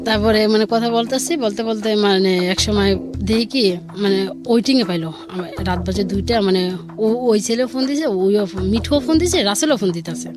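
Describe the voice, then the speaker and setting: A woman's voice: mid-range voice, normal speed. A woman guest telling a personal story informally, rambling and quoting dialogue as she goes. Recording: music bed under the voice. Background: background music.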